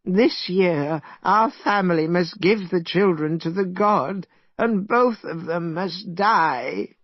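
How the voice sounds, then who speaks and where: A man voicing a frightened, tearful old village man who speaks slowly in a pleading, lamenting way. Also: high voice, huge pitch swings, husky, no room sound, lo-fi sound, voice pushed up, heavily acted.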